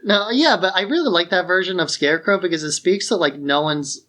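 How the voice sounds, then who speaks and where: A man's voice: high voice, brisk, bright, forceful tone. A man co-hosting a podcast, chatting casually about film in an informal, enthusiastic way. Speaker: podcast co-host.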